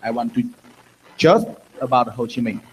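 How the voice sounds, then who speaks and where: A middle-aged man with a Vietnamese accent, speaking at a conference in halting, earnest English with simple grammar into a handheld mic. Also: medium pitch, animated, a little hiss or hum, rough recording. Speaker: guest speaker, former prisoner. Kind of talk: testimony in broken English. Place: dark conference hall stage.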